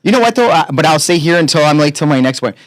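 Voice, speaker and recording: A man in his late thirties, a podcast guest chatting casually in a blunt, profane, animated way. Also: on the high side, quick pace, no room sound. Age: 30s. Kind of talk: casual chat.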